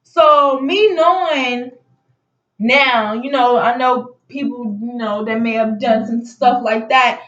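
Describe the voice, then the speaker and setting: A woman telling a personal story casually, in an animated, indignant way, acting out dialogue as she goes. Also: fairly high pitch, slow pace. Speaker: storytelling vlogger. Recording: muffled audio in echoey room.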